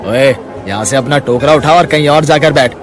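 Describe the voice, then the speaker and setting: Man voicing a bullying shopkeeper, cartoon, harsh, loud, faint music. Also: mid-range voice, moderate pace, crowd noise, close to an everyday voice. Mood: bossy. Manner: harsh, shouting. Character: rude bullying male shopkeeper.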